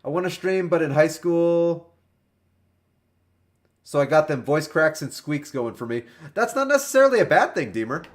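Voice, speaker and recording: A man in his twenties chatting casually with viewers while livestreaming a video game, joking and animated. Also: on the high side, normal speed. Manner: animated, joking, talks to chat by name. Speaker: game streamer.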